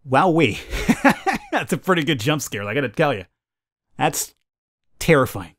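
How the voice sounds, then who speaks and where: A man's voice: on the high side, big pitch swings, takes it slowly, pauses often, a bit bright. A man hosting a let's play, reading game narration dramatically and adding informal commentary. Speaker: gaming YouTuber, let's play host. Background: game music.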